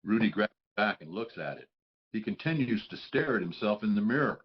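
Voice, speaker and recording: Low-voiced man narrating stage directions. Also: expressive, fairly quick, lo-fi sound. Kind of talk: scripted narration.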